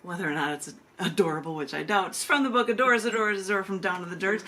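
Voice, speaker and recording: A woman hosting a virtual open mic, reading her poems and introducing them casually, with deliberate, emphatic phrasing and drawn-out pauses. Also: mid-range voice, fairly bright tone, slight background noise. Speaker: open mic host and poet. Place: virtual open mic over video call.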